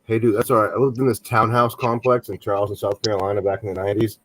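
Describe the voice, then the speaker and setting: A man's voice: on the low side, little pitch movement, fast talker, fairly bright tone, no room sound, rough recording. Laid-back swearing storyteller man. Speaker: stream co-host. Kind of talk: casual banter on a live stream.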